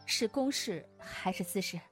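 Actress as a bantering, wistful Taoist swordswoman, unhurried. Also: mid-range voice, animated, soft background music, natural voice. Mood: earnest. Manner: hesitant, roundabout, bantering. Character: easygoing Taoist swordswoman, apologetic, playful, secretly lovelorn. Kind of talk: Chinese wuxia audio drama acting.

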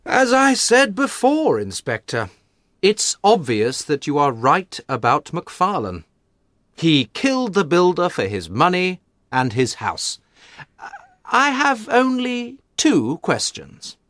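A man playing a shrewd, courteous gentleman detective with thoughtful, drily ironic delivery in a dramatised audiobook. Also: sits high, wildly swooping pitch, no room sound, clean studio sound, voice pushed up, full character voice. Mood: politely probing. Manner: thoughtful, deliberate.

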